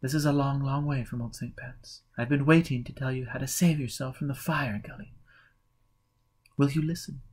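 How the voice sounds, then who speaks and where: A man reading the part of a calm, forgiving woman in an audiobook, gently and patiently giving guidance. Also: mid-range voice, moderate pace, husky, natural voice. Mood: gentle, guiding.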